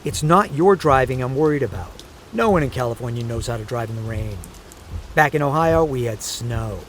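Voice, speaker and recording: A man's voice: medium pitch, normal speed, natural voice. An actor playing a fussy, protective, stubborn aging father in an audio drama, rambling and nagging in a natural way. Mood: animated storytelling. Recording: steady rain noise behind the voice.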